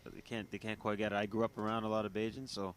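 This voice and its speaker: A man's voice: fairly low pitch, flat delivery, racing, a little hiss or hum. A man giving cricket analysis in casual chat, often repeating words while he thinks.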